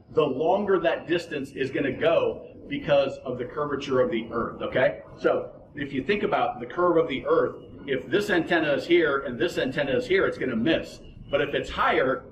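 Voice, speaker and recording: Male instructor, roomy echoing sound, faint background noise, mid-pitched voice, plain-spoken, practical, informal explaining. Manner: plain-spoken, practical, addresses listener directly. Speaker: boating instructor and host.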